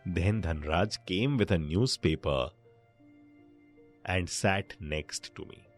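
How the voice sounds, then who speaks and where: A man narrating a scripted bedtime story in short, measured phrases with pauses between them. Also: sits low, faint music behind.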